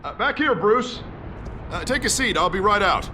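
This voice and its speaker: An actor playing a troubled American city mayor with a split, volatile personality in a video game, his voice husky and strained. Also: high voice, expressive, normal speed, sharp tone, slight background noise, exaggerated voice. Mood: flustered.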